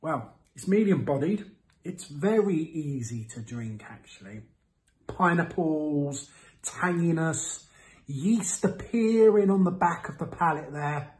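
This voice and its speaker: A man's voice: a little high, animated, slow pace, darkish tone, poor audio. Playful man reviewing to camera. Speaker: host of a beer review series. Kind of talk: casual product review to camera. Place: small indoor room with window blinds.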